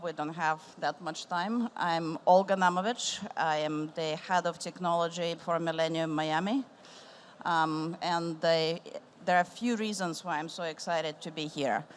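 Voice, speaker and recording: A middle-aged woman with a somewhat low voice hosts a panel on a conference stage. She talks warmly and loosely, circling back on her phrasing. Faint room noise sits behind her. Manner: warm, rambling, with restarts. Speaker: panel moderator. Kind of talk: live panel discussion.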